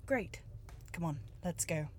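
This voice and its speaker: A businesslike female detective in a noir audio drama. The voice is a bit low, cool and pointed, pressing with determination. Faint ambience sits behind it. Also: animated, slow pace, slight background noise. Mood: brisk.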